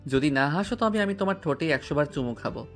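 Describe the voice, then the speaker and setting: A man's voice: a little high, lively intonation, fast, natural voice. A male voice actor playing a playful, devoted young husband in an audio romance drama. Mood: tender. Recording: music bed underneath.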